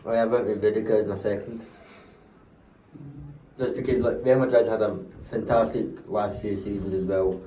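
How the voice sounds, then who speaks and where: A man's voice: fairly low pitch, steady tone, normal speed, dark tone, far from the mic, slight background noise. A man chatting about football in short, blunt remarks, swearing now and then. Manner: terse, swearing. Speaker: co-host. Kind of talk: casual football chat. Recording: roomy echo, off-mic, faint ambience.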